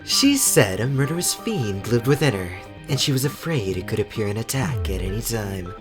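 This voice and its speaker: A woman playing a cold, haughty teenage boy in an anime game fandub, measured, condescending and disdainful. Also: extremely low pitch, very animated. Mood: cool, explanatory. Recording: loud music behind. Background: music.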